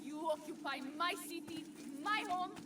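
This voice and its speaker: An actress playing a bold, sharp-tongued Italian noblewoman who rules a city, in a video game cutscene with an Italian accent. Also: very high voice, normal speed, very noisy. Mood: furious, defiant. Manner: brash, swaggering, salty-tongued.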